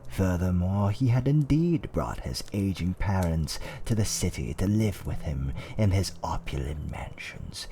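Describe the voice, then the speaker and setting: Low, slow, dark-voiced male storyteller. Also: expressive, slight background noise. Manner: theatrical, hushed, deliberate delivery. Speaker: storyteller in a roleplay audio. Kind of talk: scripted ASMR fireside storytelling, dark fantasy.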